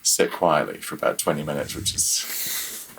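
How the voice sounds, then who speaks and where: A man's voice: rumbling low, moderate pace, creaky, fairly bright tone, a little hiss or hum. A middle-aged British man chatting casually as an interview guest, rambling informally and trailing off mid-thought. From United Kingdom. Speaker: interview guest, freelance audio programmer. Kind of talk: casual chat.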